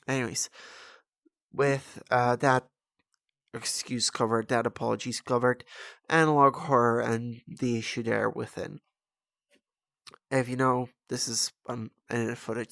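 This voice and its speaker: A young man from the Czech Republic, a native Czech speaker, talks in a casual, meandering video-essay style. His delivery is slow and halting, with plenty of vocal fry. The sound is dry and close, with little room on it. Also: medium pitch, a bit bright, no room sound. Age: 20s. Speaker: video creator and host.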